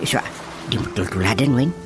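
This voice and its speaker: A man's voice: medium pitch, lively intonation, unhurried, natural voice. An actor with an Ilocano Filipino accent playing a talkative, exasperated village chairman in a radio comedy drama. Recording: loud music bed under voice.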